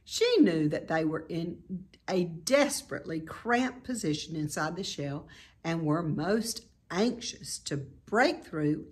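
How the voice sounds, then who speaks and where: Slow, very animated woman reading a storybook. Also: on the low side. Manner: expressive, deliberate read-aloud delivery. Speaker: storybook reader. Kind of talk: scripted narration of a children's novel.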